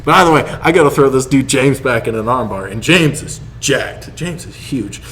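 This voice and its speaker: A man's voice: medium pitch, animated, moderate pace, a bit bright. Joking male podcaster, noisy recording. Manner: joking, laughs often while talking. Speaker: podcast co-host. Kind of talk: casual storytelling banter.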